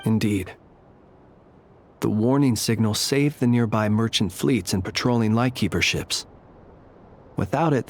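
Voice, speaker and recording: A man voicing a calm, courtly lightkeeper in a video game, speaking in formal, measured, old-fashioned phrases. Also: lowish voice, a little hiss or hum, natural voice. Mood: solemn.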